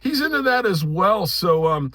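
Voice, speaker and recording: A man's voice: high-pitched, sing-song, moderate pace, fairly bright tone, no room sound, studio quality. A middle-aged or older American man, a radio hobby YouTuber, talking casually and emphatically to his viewers in a rambling, opinionated monologue. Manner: informal, emphatic, rambling with "you know" and "man".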